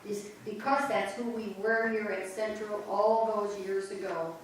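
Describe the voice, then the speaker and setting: A woman narrating a church history in an amateur reader's theater, with steady storytelling. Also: mid-range voice, unhurried, slight background noise. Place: church sanctuary. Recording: echoey church from far off.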